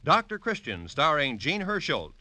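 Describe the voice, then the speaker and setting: A man's voice: fairly high pitch, wildly swooping pitch, normal speed, bright, forceful tone, dry recording. An American man announcing in 1940s radio style, with emphatic, polished scripted delivery.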